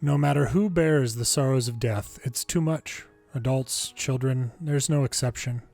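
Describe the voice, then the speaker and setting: Male longplay narrator, medium register, scripted read of game dialogue and story, soft music bed. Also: medium pitch, unhurried, gravelly. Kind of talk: scripted narration, reading translated game text aloud. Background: faint game music.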